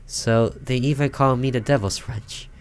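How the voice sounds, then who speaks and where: A man's voice: medium pitch, steady tone, unhurried, voice pushed up, lightly acted. A man voicing an eerie old village woman, telling an ominous warning emphatically in a horror game. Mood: boastful, eerie.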